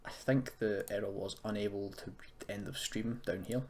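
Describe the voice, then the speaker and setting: A man's voice: on the low side, steady tone, moderate pace, darkish tone. A man presenting a casual screen-recorded tutorial, explaining in an unscripted, hesitant way. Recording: noisy home recording, keyboard typing.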